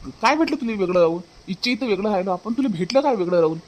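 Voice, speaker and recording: A man's voice: sits high, animated, rapid-fire. A man with a Varhadi Marathi accent playing an angry, scolding young husband in a cartoon comedy, repeating his questions indignantly. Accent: Varhadi Marathi from Maharashtra. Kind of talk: hobby cartoon comedy dialogue.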